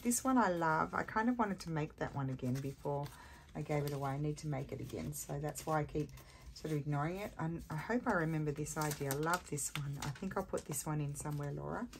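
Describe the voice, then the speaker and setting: A woman with a scratchy voice hosting a casual craft tutorial, chatting and thinking aloud as she works at her table. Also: low-pitched. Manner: chatty, self-mocking, thinks aloud while working. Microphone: overhead camera on a desk.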